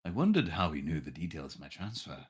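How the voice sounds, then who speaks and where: A man's voice: rumbling low, very animated, fast, a crowd in the background. A man streaming a visual novel, narrating aloud and breaking off into irreverent, sweary joking commentary. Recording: faint music behind the voice.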